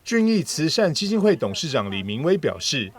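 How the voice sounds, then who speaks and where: Male Taiwanese news reporter, higher-pitched, lively scripted narration. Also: moderate pace. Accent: Taiwanese Mandarin.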